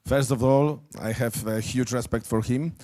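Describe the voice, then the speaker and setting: A man's voice: mid-range voice, fairly even intonation, moderate pace, warm tone. A man whose first language is Polish, an arm wrestler talking back boastfully in broken English at a press conference. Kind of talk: press conference trash talk.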